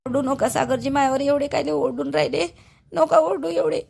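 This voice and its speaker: A woman's voice: sits high, fairly even intonation, fairly quick, creaky, darkish tone. A woman voicing a sickly, complaining young wife in a family cartoon, plaintive, with a Varhadi Marathi accent. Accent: Varhadi Marathi (Vidarbha). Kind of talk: animated family drama acting.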